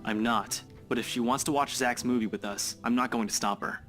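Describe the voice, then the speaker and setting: A man's voice: medium pitch, fairly even intonation, a little fast, a bit bright, soft background music, close to an everyday voice. An actor playing a blunt, skeptical young man in a visual novel game, matter-of-fact and dismissive as he reasons with friends. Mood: firm reassurance.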